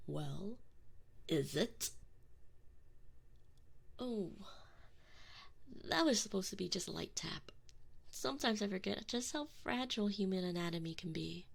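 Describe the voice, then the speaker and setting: A woman's voice: mid-range voice, expressive, measured pace, creaky, pauses often, breathy edge, full character voice. A woman playing a sadistic, possessive female alien enforcer in an ASMR roleplay, close on the microphone, taunting with theatrical, sweet menace. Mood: menacing, mocking. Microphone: close binaural microphone.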